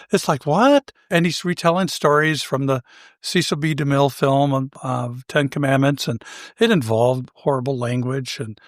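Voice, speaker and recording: An older man with a somewhat high-pitched voice, co-hosting a talk show and telling a personal anecdote in a relaxed, self-deprecating way, chuckling now and then. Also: no echo, clean studio sound. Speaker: podcast co-host. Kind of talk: conversational storytelling.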